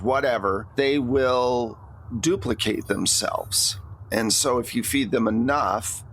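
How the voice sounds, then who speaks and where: Slow-talking man running a tabletop game. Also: medium pitch. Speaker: game master. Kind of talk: narrating a tabletop roleplaying game, conversational. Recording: clear steady background noise.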